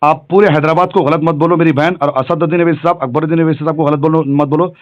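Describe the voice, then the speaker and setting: A man's voice: a little high, steady tone, fast. A middle-aged man with a Hyderabadi accent talking on a phone call, insistent and repetitive, addressing the listener respectfully. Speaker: local political activist.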